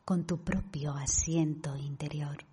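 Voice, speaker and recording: A woman with an accent from Spain leads a guided meditation, speaking calmly and deliberately in a husky, breathy voice with pauses. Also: sits low, a little hiss or hum. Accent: Spanish from Spain. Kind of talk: guided meditation talk to an online audience.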